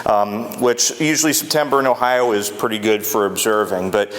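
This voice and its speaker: An older man making a casual announcement at a club meeting, a naturally loud talker a little unsure with the microphone, heard in a large meeting hall. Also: on the low side, normal speed. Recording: long hall reverb.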